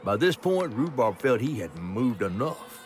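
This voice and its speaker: A man playing a folksy storyteller in a video game, with a Southern US accent and an expressive way of talking. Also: medium pitch, unhurried, background noise. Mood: grave, storytelling. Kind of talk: storybook-like game narration and dialogue. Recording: music behind.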